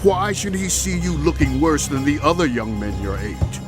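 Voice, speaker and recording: An actor playing an anxious royal court official, speaking fearfully and urgently in a dramatized audio Bible. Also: male voice, on the high side, big pitch swings, moderate pace, over loud music.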